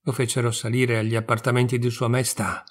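A man in his sixties from Italy, reading a fairy tale aloud slowly and expressively. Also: medium pitch. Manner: slow, measured, expressive. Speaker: storyteller.

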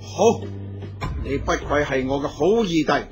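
Man acting steadfast elder brother, fairly high pitch. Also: measured pace, over music, natural voice. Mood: solemn, proud. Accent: Hong Kong Cantonese. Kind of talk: Cantonese radio drama acting.

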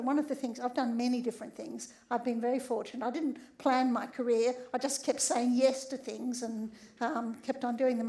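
Older woman, slightly croaky, high voice, lively stage lecture with frequent audience check-ins. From Australia. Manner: conversational, often tags lines with "right?". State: a bit croaky. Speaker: speaker giving a public talk. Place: large theatre stage.

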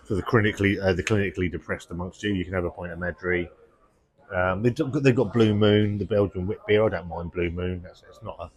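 A man in his forties, seated in a pub, chatting casually and enthusiastically about beer, with lots of ums and restarts. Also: sits low. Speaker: beer reviewer. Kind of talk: casual vlog review. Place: indoor pub, seated at table.

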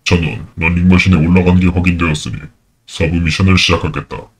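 A man voicing a mysterious, cold game master who makes formal announcements in a husky, commanding voice. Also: low-pitched, level tone, fast.

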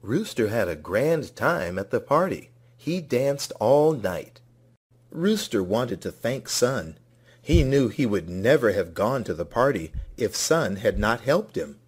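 A man's voice: mid-range voice, very animated, slow pace, breathy edge. A man narrating a scripted children's story as a read-along.

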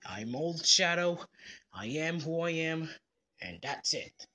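A performer voicing a gruff, self-serious male hedgehog, sullen and curt, in a homemade character skit. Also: mid-range voice, slow pace, full character voice. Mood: brooding. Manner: deadpan, curt, sullen, sometimes hushed. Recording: noticeable background noise.

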